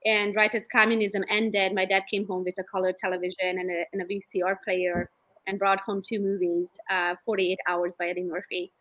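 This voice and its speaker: A woman in her late thirties from Romania with a Romanian accent, a guest on a casual video-call interview, telling her story in a lively way and laughing often. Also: mid-range voice, a little fast, low-quality recording. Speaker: guest realtor. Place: home room, indoors. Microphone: laptop webcam on video call.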